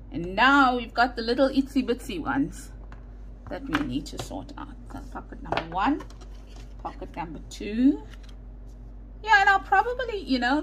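Woman, South African Indian accent, unhurried chatty crafting talk, mid pitch, faint room ambience. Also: a bit bright, slight background noise, rough recording. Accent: South African Indian English. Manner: rambling, self-correcting, muttering asides while working. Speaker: craft video host. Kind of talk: casual chatty crafting commentary. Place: indoors at a craft desk. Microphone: overhead camera setup, hands only in frame.

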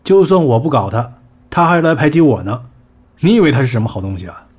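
An actor in a Chinese audio drama playing a sharp-tongued entertainment boss, blunt, scolding and colloquial. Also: male voice, mid-range voice, big pitch swings, quick pace, slightly rough. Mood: exasperated.

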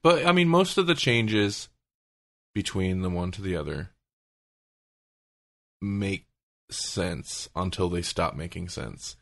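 A man's voice: low-pitched, lively intonation, takes it slowly, gravelly, pauses often, fairly bright tone. A man chatting casually as a podcast host, thinking aloud and often qualifying what he says.

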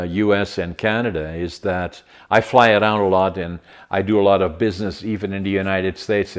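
Dutch-accented older man, very deep. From Netherlands. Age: 80s. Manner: halting, with many fillers and restarts. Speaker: podcast host. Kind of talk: casual interview chat. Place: home room with large window. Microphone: video call.

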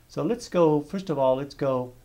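Man narrating a software demo. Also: on the high side, moderate pace. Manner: steady step-by-step explaining with small restarts. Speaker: software demonstrator. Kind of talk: software tutorial screencast narration.

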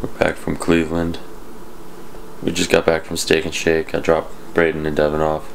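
A man's voice: very deep voice, monotone, moderate pace, creaky, slight background noise, rough recording. A tired young man wrapping up a casual vlog to a handheld camera, laid-back and swearing freely. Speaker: vlogger, band member. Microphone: handheld camera at arm's length.

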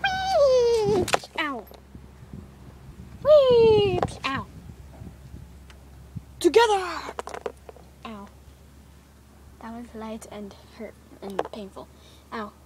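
Young girl voicing toy pets on a backyard playground; slow, high, animated delivery; phone-recorded with audible background noise. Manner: excited squeals, exaggerated character voices. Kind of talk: playful toy play acting. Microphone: handheld phone camera.

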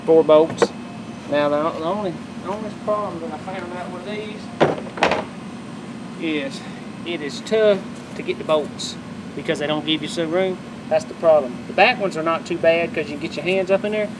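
A middle-aged man with a drawl and a slightly raised pitch walks through his backyard project in a loose, self-correcting ramble. He is captured on a camera mic in the open air, with heavy background noise running under his voice. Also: moderate pace. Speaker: vlogger showing his boat upgrades. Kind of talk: casual show-and-tell. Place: backyard outdoors. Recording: outdoor camera audio with loud background noise.

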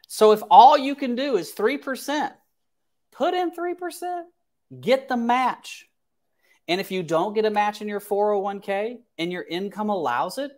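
Man, thirties, slightly high voice, financial advice livestream, emphatic delivery, headset mic in a home office. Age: 30s. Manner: emphatic, repeats phrases for stress. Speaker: financial advisor hosting a livestream. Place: small indoor home office.

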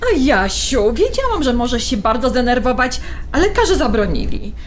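An actress playing a wife who tries to keep the peace, placating and reproachful, in an audio drama. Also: female voice, fairly high pitch, sing-song, moderate pace, slight background noise. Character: exasperated, peacemaking wife, soothing yet reproachful.

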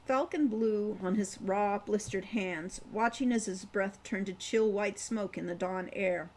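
A woman's voice: medium pitch, measured pace, a little mellow, poor audio. A woman in her sixties reading her own fantasy novel aloud as story narration at home. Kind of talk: audiobook-style story narration. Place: room at home, indoors.

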